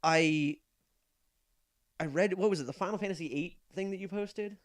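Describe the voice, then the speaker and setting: A man's voice: high voice, normal speed. A young man co-hosting a casual podcast, joking and teasing his friend, often trailing off and restarting. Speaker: podcast co-host. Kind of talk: casual rambling chat.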